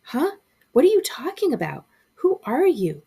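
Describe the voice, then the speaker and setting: A woman's voice: high-pitched, wildly swooping pitch, moderate pace, creaky, warm tone, voice pushed up, full character voice. A woman voicing a bewildered, frightened young boy in a storybook reading, sounding incredulous. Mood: confused, demanding. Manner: incredulous, stammering when scared.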